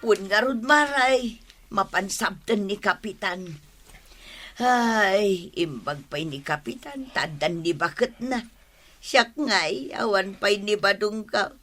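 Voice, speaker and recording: A woman's voice: mid-range voice, lively intonation, slow, sharp tone, full character voice. An actress with an Ilocano Filipino accent playing a whiny, lovesick, pitiful village woman in a radio comedy. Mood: wistful. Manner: plaintive, wailing. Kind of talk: comedy radio drama acting.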